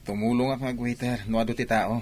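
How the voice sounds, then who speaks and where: A man's voice: medium pitch, steady tone, fairly quick, a little mellow, lightly acted. A man with an Ilocano accent playing a sly young student who sweet-talks, in a radio drama style. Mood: sweet-talking. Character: sly young student sweet-talking his older girlfriend. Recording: faint background noise.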